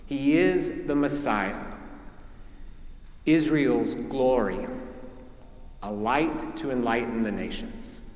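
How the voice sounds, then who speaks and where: Slow-preaching Southern priest in echoey church. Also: male voice, mid-range voice, expressive, a little mellow. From Mississippi, USA. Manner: slow, measured preaching with deliberate pauses. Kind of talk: sermon. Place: large church.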